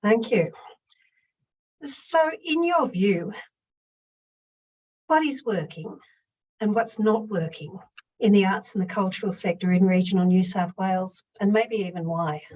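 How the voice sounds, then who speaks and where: A woman host slowly and deliberately asking an interview question. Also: medium pitch, lively intonation, a little mellow, far from the mic, rough recording.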